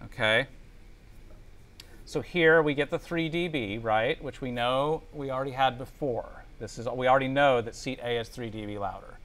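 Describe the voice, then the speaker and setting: A man's voice: medium pitch, normal speed, a bit bright. A man giving a classroom lecture as a teacher, explaining step by step and often checking understanding with short tag questions. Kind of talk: classroom lecture with spreadsheet demonstration. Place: indoor classroom.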